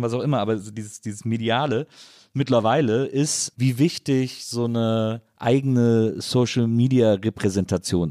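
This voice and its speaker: A man's voice: medium pitch, mellow, no echo, clean studio sound. A man hosting a casual interview podcast, informal and feeling his way through his thoughts as he speaks.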